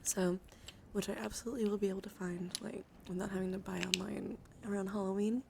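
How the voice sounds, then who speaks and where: A woman doing live ASMR in a soothing, intimate, encouraging way, drifting between breathy whispers and soft speech. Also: mid-range voice, little pitch movement, quick pace. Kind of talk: ASMR, whispered and softly spoken reassurance. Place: small warm room with computers running. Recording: noisy room ambience behind voice.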